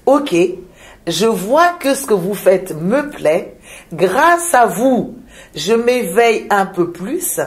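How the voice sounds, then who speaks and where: A woman from Madagascar, a shaman, speaking in a reflective interview with frequent pauses, hesitations and repeated words. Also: medium pitch. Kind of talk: reflective spiritual interview talk.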